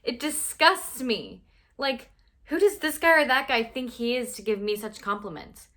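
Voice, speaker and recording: A woman's voice: on the high side, unhurried, pushed and bright. Woman playing an exasperated young woman. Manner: exasperated, sarcastic, mocking men's lines. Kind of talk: comedic monologue performance.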